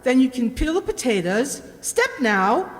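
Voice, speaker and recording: A woman playing a shrill, scolding household cook. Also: big pitch swings, unhurried. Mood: brusque, scolding. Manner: bossy, mocking, scornful. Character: gruff, scolding, sarcastic household cook woman in old London. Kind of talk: amateur radio play acting. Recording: echoey hall, long reverb.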